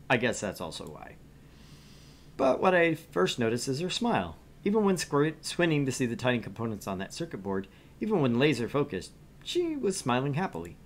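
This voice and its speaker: A man's voice: mid-range voice, a little hiss or hum. A man streaming a visual novel, reading its narration aloud and chatting casually about it. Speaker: streamer and reader.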